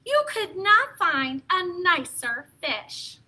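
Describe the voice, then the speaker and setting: Female children's storyteller, very high voice, slow expressive pacing, playful read-aloud delivery. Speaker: children's storytime host and reader.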